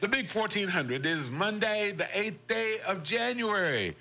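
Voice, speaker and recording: A man's voice: sits high, sing-song, unhurried, vocal fry, low-quality recording. A man hosting a morning radio show, chatting in a folksy way and laughing often. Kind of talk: casual radio chat. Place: radio studio. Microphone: studio microphone.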